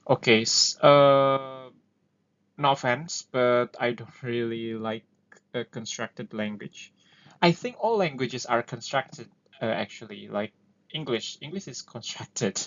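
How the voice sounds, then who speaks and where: A man's voice: medium pitch, takes it slowly, fairly bright tone. A man with an Indonesian accent who speaks English as a second language. He talks through ideas casually and hesitantly, with many fillers, as the host of an explainer. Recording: dull lo-fi recording.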